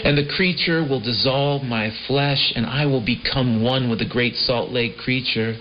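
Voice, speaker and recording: Loud piano and keyboard music plays behind a man on a dull, lo-fi shortwave radio recording. He rambles through an improvised comic monologue like a radio host. His delivery is theatrical and mock-prophetic, at a medium pitch. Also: steady tone, moderate pace. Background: prominent piano and keyboard music.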